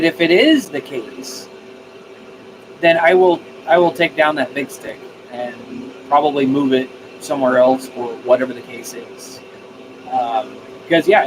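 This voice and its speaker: A man's voice: mid-range voice, background noise. A man chatting casually on a live stream, answering viewer comments and repeating phrases as he thinks aloud. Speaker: live streamer, radio hobbyist.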